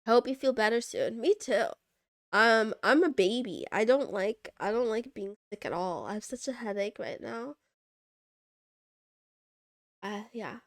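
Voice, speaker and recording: A woman's voice: on the high side, lively intonation, a little slow, long pauses, no echo, clean studio sound. A young woman streaming and chatting with viewers, playful and giggly with cat puns, her voice stuffy from congestion. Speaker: VTuber streamer. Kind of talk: casual livestream chat reading viewer messages.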